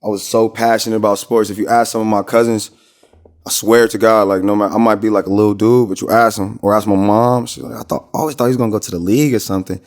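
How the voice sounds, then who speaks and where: Male American rapper as interview guest, low register, informal slang, loose rambling delivery. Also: steady tone, fast talker, darkish tone. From Minnesota, United States. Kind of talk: casual interview chat.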